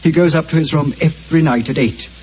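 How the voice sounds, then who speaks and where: An actor playing an anxious, earnest young gentleman who speaks hesitantly, on an old radio recording. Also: a little high, quick pace, lightly acted. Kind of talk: vintage radio acting. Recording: dull old radio recording, faint hiss.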